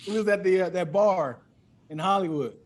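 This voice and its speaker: A man's voice: high voice, normal speed. A man talking as a comedian guest on a podcast, casual and profane, often repeating words as he finds his way into a sentence.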